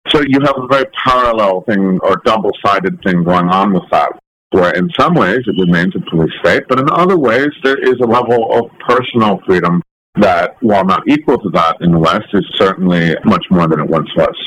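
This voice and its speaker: A man from the United States giving thoughtful, measured and articulate answers as an interview guest. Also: low voice, lively intonation, a bit bright, rough recording. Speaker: interview guest, documentary photographer.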